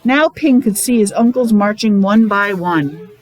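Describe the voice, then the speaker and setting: A woman reading a picture book aloud to young children, expressive, adding explanations and questions along the way. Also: mid-range voice, unhurried, rough recording. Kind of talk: animated read-aloud storytelling with asides to young children.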